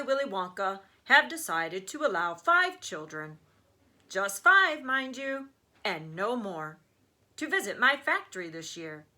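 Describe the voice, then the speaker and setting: An eccentric storybook candy maker makes a grand announcement, read by a woman. The delivery is bright and emphatic, with big lively rises in pitch. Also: full character voice. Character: eccentric male candy-making genius announcing a contest.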